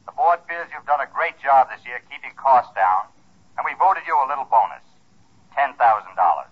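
A hearty senior executive in a 1940s radio comedy. He sounds patronizing and lively, his voice swinging in pitch through a thin telephone line. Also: moderate pace. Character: hearty, patronizing company director. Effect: telephone filter. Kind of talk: 1940s radio sitcom acting. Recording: tinny phone line on old radio.

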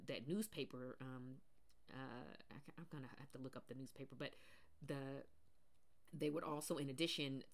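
A woman from the US Pacific Northwest with a deep voice, talking casually and rambling with fillers on her solo podcast. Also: fairly bright tone. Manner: rambling, many fillers and restarts. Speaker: podcast host.

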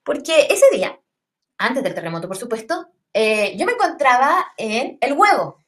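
Chilean woman, thirties, comic storyteller, slangy, rambling, a bit high-pitched. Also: sing-song, normal speed, a bit bright. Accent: Chilean Spanish.

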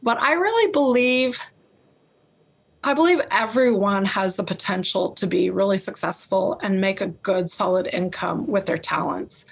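A woman artist and color teacher being interviewed, talking loudly and passionately and laughing often. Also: medium pitch, normal speed, vocal fry, husky. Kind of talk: podcast interview chat.

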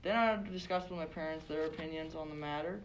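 A man acts a meek and plaintive father who has lost his family, in a comic podcast sketch. He sounds self-pitying and soft-hearted. There is faint background noise. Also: on the high side, fast, close to an everyday voice, rough recording. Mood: mild, accepting.

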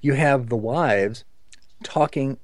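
A man's voice: mid-range voice, slow, dry recording, clean studio sound. A man hosting a podcast discussion in an informal, chatty way, with some enthusiasm for the subject. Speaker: podcast host.

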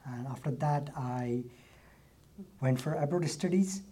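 A man from Nepal, a university lecturer answering interview questions in a soft-spoken, hesitant way with restarts. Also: medium pitch, a little slow.

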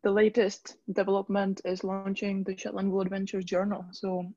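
Woman, flat steady voice. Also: mid-range voice, moderate pace, lo-fi sound. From Czech Republic. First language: Czech. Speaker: guest, tour business owner. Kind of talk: conversational interview.

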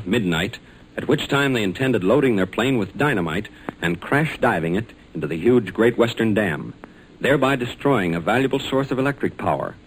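An American man announcing and narrating in a scripted, formal and emphatic 1940s radio style. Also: on the low side. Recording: noisy old 1940s radio recording.

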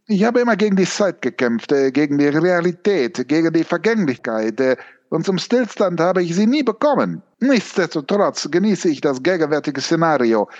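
An eccentric, megalomaniac painter in an audio drama. His voice is very high for a man and swoops theatrically. He declaims with vain pomp and drawn-out words, on a slightly dull recording. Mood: whimsical.

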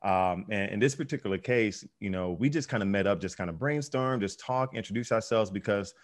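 A man's voice: fairly low pitch, fairly quick. A man hosting a business podcast in easygoing conversation, with lots of ums and restarts. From United States. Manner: easygoing, many fillers and restarts. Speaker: podcast host. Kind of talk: casual interview chat.

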